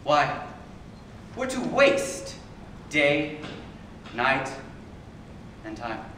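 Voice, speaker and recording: A man playing a pompous, rambling old courtier in a comedic classical stage monologue, halting and repeating himself theatrically. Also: a little high, big pitch swings, bright, forceful tone, voice pushed down, full character voice. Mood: self-important, meandering. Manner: halting, repetitive, drawn-out theatrical phrasing. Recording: very echoey room, far from mic, faint noise.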